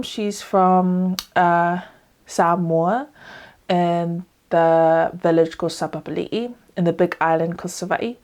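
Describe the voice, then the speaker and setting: Low-voiced New Zealand woman, chatty podcast. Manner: relaxed and chatty, with many ums and likes. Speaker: podcast host. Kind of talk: casual solo podcast talk. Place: small indoor room at home, dog barking outside. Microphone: laptop webcam, seated close.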